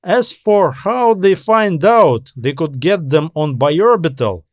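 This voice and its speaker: A confident male space-station officer , acted by a male narrator, gives his report in a science fiction audiobook. His voice is raised in pitch and emphatic, explaining with self-assurance. The sound is dry. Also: lively intonation, slow pace, no room sound. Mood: wry, confident. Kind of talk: audiobook character dialogue.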